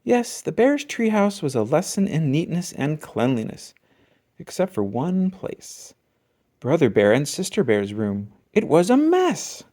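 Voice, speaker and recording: Very animated children's storybook reader. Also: mid-range voice. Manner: expressive, emphatic reading with drawn-out key words. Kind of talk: scripted children's storybook narration.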